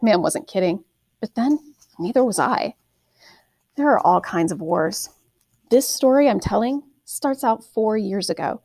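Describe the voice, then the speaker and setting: Woman, expressive audiobook narration, mid-pitched, deliberate dramatic phrasing, dry recording. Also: no room sound. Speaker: audiobook reader, teacher reading aloud. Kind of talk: expressive scripted storybook narration in first person.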